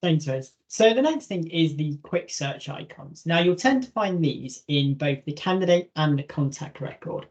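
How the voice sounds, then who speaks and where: A man presenting a webinar, explaining a software feature step by step in an instructional style. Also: fairly high pitch, expressive, moderate pace, few pauses. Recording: dull, lo-fi online audio.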